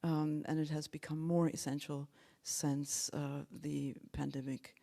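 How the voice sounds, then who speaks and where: Older woman, mellow and measured. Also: sits low, level tone, creaky. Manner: measured, halting with fillers. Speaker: panelist, choir founder. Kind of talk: roundtable introduction. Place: hall with dark stage. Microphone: handheld mic.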